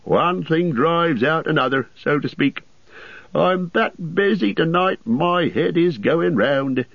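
A man voicing a bustling, forgetful, talkative middle-aged innkeeper in a storybook reading, in a high, hurried, fussy voice. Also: expressive, creaky, no echo, muffled recording, exaggerated voice. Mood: chatty, harried.

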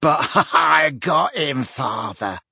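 Rasping, vicious young madman. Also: mid-range voice, animated, pushed and bright, no echo, studio quality, full character voice. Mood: cackling glee. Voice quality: rasping, hissing whisper. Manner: slow, gloating, menacing. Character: vicious, mad young man, hissing and taunting.

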